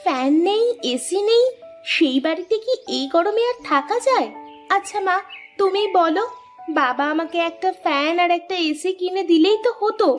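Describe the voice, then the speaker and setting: Woman doing a shrill spoiled young daughter. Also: lively intonation, moderate pace, faint music behind. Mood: demanding. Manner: whiny, demanding.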